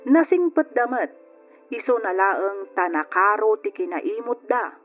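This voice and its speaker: A woman's voice: mid-range voice, sing-song, measured pace. A woman playing a single woman who tells her life story with an Ilocano accent, as plaintive radio drama narration. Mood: reflective. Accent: Ilocano, from the Philippines. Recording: lo-fi radio recording with music behind. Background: background music.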